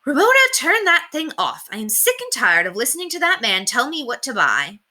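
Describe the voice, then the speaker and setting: Woman acting an exasperated, sharp, high-pitched mother. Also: wildly swooping pitch, a little fast, exaggerated voice. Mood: fed up. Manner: sharp, scolding. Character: exasperated, firm mother fed up with television. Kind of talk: children's storybook read-aloud.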